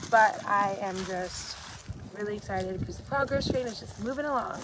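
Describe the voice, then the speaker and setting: A young woman in her twenties chatting excitedly on a farm, filming herself with a phone held at arm's length outdoors. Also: medium pitch, moderate pace, noisy background. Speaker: vlogger showing her horse property. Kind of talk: casual vlog chat to camera. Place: outdoors on a farm, under a barn roof and in a pasture. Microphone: phone camera held at arm's length.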